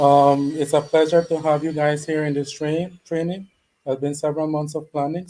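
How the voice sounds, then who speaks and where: A man, a consultant, introducing himself on a video call in a halting way, with pauses filled by "um". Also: fairly high pitch, dark tone, poor audio.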